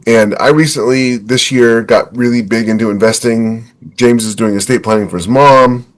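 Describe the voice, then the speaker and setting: A man's voice: medium pitch, steady tone, moderate pace. A man hosting a podcast in a casual, chatty style. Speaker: podcast host.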